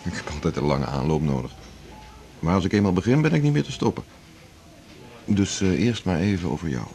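A man's voice: sits low, sing-song, fairly quick, dark tone, slight background noise. An actor playing a workaholic middle-aged male internist in a 1980s radio drama, talking in a wry, self-mocking, confiding way. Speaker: hospital internist and chief.

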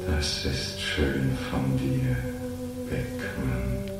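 Casting: mournful ghost of a murdered man, very deep, swinging pitch, halting, vintage radio drama. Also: wildly swooping pitch, full character voice. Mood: eerie calm. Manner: solemn, halting, accusing. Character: dead one-legged man haunting his murderer, mournful, insistent. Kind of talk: 1940s German radio play acting. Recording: old radio recording, heavy echo, loud music.

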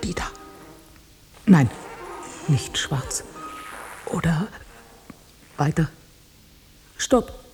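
An actress playing a wistful, dreamy and gently contrary woman in radio drama dialogue. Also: low-pitched, expressive, very slow, long pauses, faint music behind, exaggerated voice. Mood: questioning, contrary.

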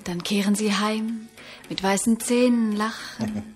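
A woman's voice: medium pitch, steady tone, a little slow, darkish tone, soft background music, close to an everyday voice. An actress playing a languid, playful woman companion in a radio play. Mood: gleeful, dreamy. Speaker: radio drama actor. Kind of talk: German radio play acting.

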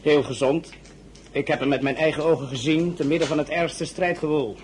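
An actor playing a sober, loyal French colonel and prisoner of war, formal and deferential, in an audio drama. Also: male voice, fairly high pitch, steady tone, fairly quick, a little mellow, slight background noise, close to an everyday voice. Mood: grave. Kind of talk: Dutch audio drama acting.

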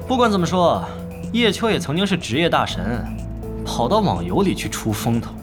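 A man's voice: on the high side, very animated, moderate pace, music in the background. A man playing an arrogant, scornful young star player in a Mandarin audio drama, sneering as he talks. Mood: contemptuous. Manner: haughty, sneering.

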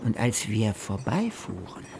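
An actor playing a brooding nineteenth-century naturalist recounting his voyage in measured, declamatory phrases for a radio drama. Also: on the low side, very animated, a little mellow, a little hiss or hum. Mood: vivid recounting. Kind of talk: literary radio drama monologue over music.

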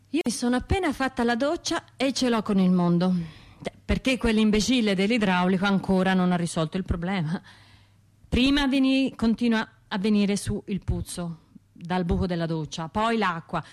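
A woman's voice: mid-range voice, darkish tone, natural voice. Radio drama monologue: actress as sardonic, wounded Tuscan woman, middle-aged, colloquial delivery, mid-range voice. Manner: colloquial, sardonic, acting out other people's remarks. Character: bitter, sardonic Florentine woman, grieving survivor of a bombing. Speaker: stage and radio actor.

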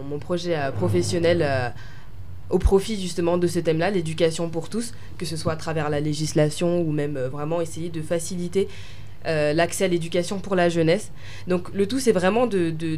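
A woman's voice: on the low side, a little mellow, slight background noise. Hesitant young woman answering questions. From France. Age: young adult. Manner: hesitant, many fillers and restarts. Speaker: pageant winner, interviewee. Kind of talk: interview answers.

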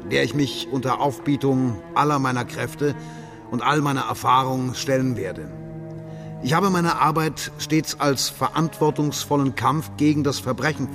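Male actor for pompous official's inaugural address, radio play: creaky, measured, solemn, music underneath. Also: mid-range voice, natural voice. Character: solemn, self-assured German police official making a pledge. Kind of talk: formal speech in a radio drama.

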